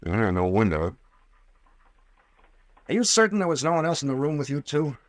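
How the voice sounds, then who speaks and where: An actor playing a brash, sardonic captain and investigator with folksy slang in an old-time radio drama. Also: male voice, mid-range voice, very animated, moderate pace, no room sound, lightly acted. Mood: wry, probing. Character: gruff wisecracking sea captain playing armed investigator.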